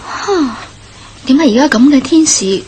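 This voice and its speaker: A woman's voice: high-pitched, animated, a little slow, a little mellow, a little hiss or hum. An actress playing an uneasy, impatient village woman who nags and frets in a Hong Kong Cantonese horror radio drama. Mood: uneasy, fretful. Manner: fretful, nagging.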